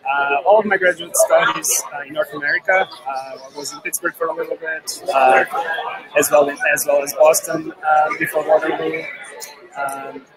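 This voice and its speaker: Soft-spoken man from Portugal, noisy crowd. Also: on the high side, steady tone, moderate pace. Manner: soft-spoken, with frequent fillers. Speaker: research scientist guest. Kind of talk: interview answers. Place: busy event with crowd.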